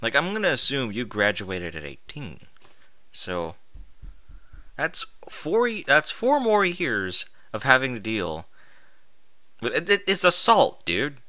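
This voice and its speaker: A man's voice: mid-range voice, wildly swooping pitch, takes it slowly, pauses often, bright, forceful tone, no echo. A man reading a story aloud with exasperated, sarcastic, sweary commentary. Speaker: host reading and mocking amateur fan fiction aloud.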